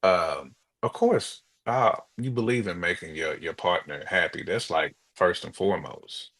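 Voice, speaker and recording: A man's voice: deep voice, a little slow, a bit bright. An American man co-hosting a talk show, chatting casually and giving his opinions.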